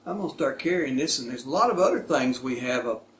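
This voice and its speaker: A man's voice: medium pitch, lively intonation, quick pace. A man hosting a casual unboxing demonstration, speaking in short, plain, folksy phrases. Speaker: product reviewer and video host. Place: indoors, echoey room. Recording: echoey room with clear background noise.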